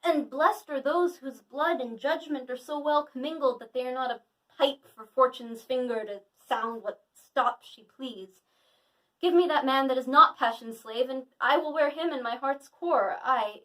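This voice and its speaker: A woman's voice: high voice, normal speed, a bit bright, far from the mic, poor audio. A woman playing an earnest, brooding young prince in a declamatory Shakespearean monologue, confiding in a friend. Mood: intense admiration.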